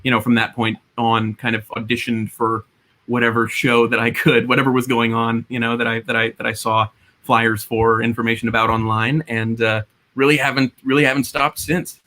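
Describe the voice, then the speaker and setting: Young male guest from Hawaii, chatty and self-deprecating, bright voice. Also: medium pitch, level tone, moderate pace. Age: young adult. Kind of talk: casual interview chat.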